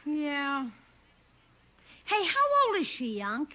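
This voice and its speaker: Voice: sits high, wildly swooping pitch, takes it slowly, exaggerated voice. A performer playing a reluctant, inquisitive young nephew with a boyish whine in a 1950s radio comedy. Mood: reluctant, curious. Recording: muffled old radio recording.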